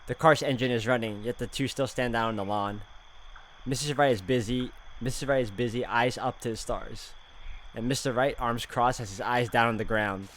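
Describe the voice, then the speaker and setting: A man's voice: mid-range voice, normal speed, a bit bright, a little hiss or hum. Man dramatically reading a game story aloud. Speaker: game walkthrough player. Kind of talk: reading visual novel narration aloud with casual asides.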